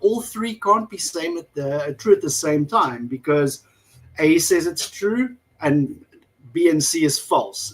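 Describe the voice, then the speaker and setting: A man's voice: a little high, low-quality recording. A man in his forties with a South African accent, talking as a guest in a casual interview, rambling and hesitant with many fillers. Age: 40s. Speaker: guest activist.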